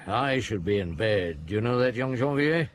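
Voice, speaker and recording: Man acting middle-aged detective chief, weary, gruff, compassionate, widely varied pitch, radio drama, faint background noise. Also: expressive, moderate pace, lightly acted. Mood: weary, businesslike.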